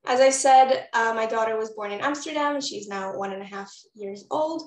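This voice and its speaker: A woman's voice: fairly high pitch, normal speed, echoey room. A woman from Israel, a postpartum doula, giving a webinar presentation in an encouraging way that speaks straight to the audience over a video call. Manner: warm, encouraging, addresses audience directly.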